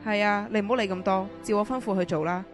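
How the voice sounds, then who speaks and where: Woman acting fast-talking scheming female convict. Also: medium pitch, fairly even intonation, lightly acted. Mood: commanding, threatening. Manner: commanding, manipulative. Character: scheming, desperate middle-aged woman prisoner plotting an escape. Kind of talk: amateur radio drama acting. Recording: radio drama with music underneath.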